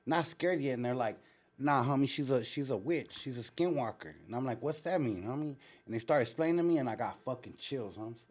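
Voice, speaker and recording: A man's voice: medium pitch, brisk. Man telling stories in street slang. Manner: street slang, frequent swearing, animated retelling. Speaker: show host. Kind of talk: casual live storytelling and chat.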